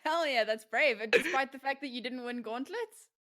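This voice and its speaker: A woman with a fairly high voice hosts a live podcast in a casual interview style. She chats conversationally with her guests and is quick to laugh. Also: sharp tone. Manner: laughs easily and often. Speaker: podcast host.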